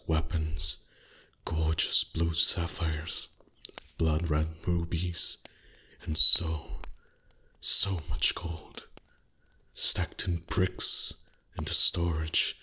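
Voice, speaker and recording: An ancient, proud dragon, acted out by a man, tells of its past in an intimate ASMR roleplay. The voice is deep and extremely breathy and husky. It moves slowly and haughtily, savouring each word with little rise or fall. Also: pushed and bright, heavily acted. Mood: savouring, reverent. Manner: slow, deliberate, haughty, savouring words. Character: proud, greedy, centuries-old male dragon reminiscing to a human.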